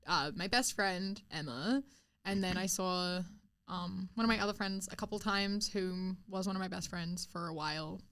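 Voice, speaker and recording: A woman's voice: fairly low pitch, moderate pace, fairly bright tone, smooth. A young woman co-hosting a podcast, chatting casually, laughing often and using lots of filler words. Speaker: podcast co-host. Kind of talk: casual chat.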